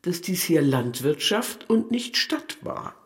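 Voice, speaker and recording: A man's voice: a little high, big pitch swings, slow. A man reading lines of a literary story aloud.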